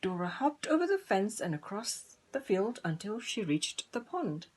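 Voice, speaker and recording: A woman narrating a children's story in a deliberate, gently dramatic manner. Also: medium pitch, big pitch swings, slightly rough. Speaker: storybook reader.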